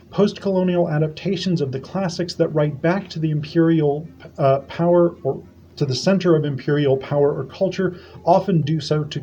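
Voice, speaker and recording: A man reads aloud a prepared academic paper, formal and measured. His voice sits a little high but sounds mellow and warm. The audio is dull and lo-fi. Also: slight background noise. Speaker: academic presenter. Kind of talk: reading a prepared conference paper.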